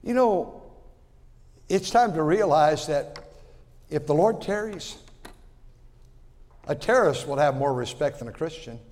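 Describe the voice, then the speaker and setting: A man in his sixties preaching a sermon in a folksy way, repeating words and swinging from loud to quiet. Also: on the high side, animated, unhurried, long pauses, long reverb tail. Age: 60s. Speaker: pastor.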